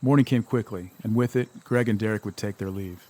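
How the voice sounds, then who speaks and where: A man's voice: fairly low pitch, fairly quick, dark tone, close to an everyday voice. A man performing as the solemn storyteller of an audio drama, reading scripted narration.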